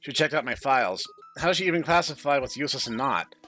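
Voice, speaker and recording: A man's voice: mid-range voice, expressive, quick pace, pushed and bright, faint music behind. A man playing an exasperated, wary male computer user, the incredulous and dramatic hero of a visual novel. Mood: wary, puzzled. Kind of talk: visual novel protagonist read-aloud.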